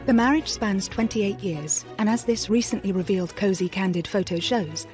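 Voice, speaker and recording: A woman delivers scripted documentary narration as the narrator. Her voice sits at a medium pitch, with music playing clearly behind her. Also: moderate pace, a little mellow. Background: background music.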